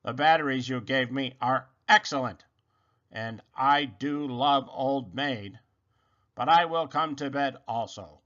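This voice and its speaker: A courteous, literal-minded storybook robot, voiced by a man. He talks formally and precisely at an unhurried pace, in a medium register. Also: medium pitch, a bit bright, lo-fi sound. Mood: matter-of-fact.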